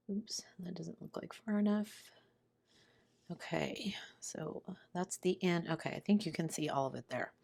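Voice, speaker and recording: A woman hosts a casual craft video in a very deep voice full of fry. She sounds ill and hoarse, as if her voice is giving out. She talks half to herself while she works. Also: darkish tone. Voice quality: hoarse, low voice. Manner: murmuring to herself while working. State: sick, voice giving out.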